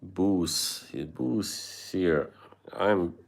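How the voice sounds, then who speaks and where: A man's voice: deep voice, very slow, vocal fry. A man with an Eastern European accent hosting a casual livestream, chatting with viewers, laughing often and swearing casually. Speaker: livestream host.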